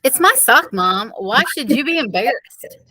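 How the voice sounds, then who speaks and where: A woman's voice: fairly high pitch, animated, normal speed, bright, forceful tone, voice pushed up, poor audio. A woman voicing a plain-spoken, puzzled schoolboy who protests, in a children's story read-aloud.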